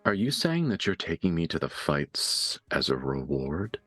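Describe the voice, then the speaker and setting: A man's voice: sits low, big pitch swings, a little slow, fairly bright tone, husky, dry recording, faint music behind, full character voice. A man playing a deferential, blunt enforcer who loves a fight in a tabletop game. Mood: blunt, eager. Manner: blunt, obedient, says sir and ma'am.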